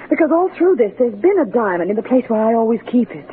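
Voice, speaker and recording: An actress playing a nervous, pleading society wife in a 1940s radio drama, flustered and hesitant. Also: female voice, a little high, sing-song, fast. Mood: anxious, pleading. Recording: muffled, noisy 1940s radio broadcast.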